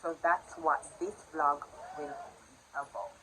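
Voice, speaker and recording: A woman's voice: low voice, steady tone, unhurried, a little hiss or hum, low-quality recording. A young woman in her twenties with a Jamaican accent, talking casually to her viewers in a home vlog. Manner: chatty and warm with her viewers, swings loud when playing with her baby. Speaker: vlogger. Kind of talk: casual vlog talk to camera. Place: home in the morning, indoors.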